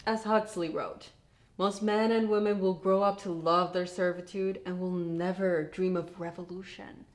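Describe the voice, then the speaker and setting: A woman's voice: on the low side, steady tone, moderate pace, close to an everyday voice. A young woman playing a bookish teaching assistant in a comedy web series, with a wry, teasing manner. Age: young adult. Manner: coy, wry.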